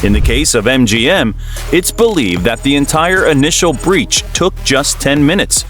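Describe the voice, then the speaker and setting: A man narrates a documentary from a script with a mid-pitched voice and very lively, varied intonation. He explains things step by step and addresses the listener directly. Music plays faintly behind him. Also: normal speed. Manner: explanatory, rhetorical questions to the listener.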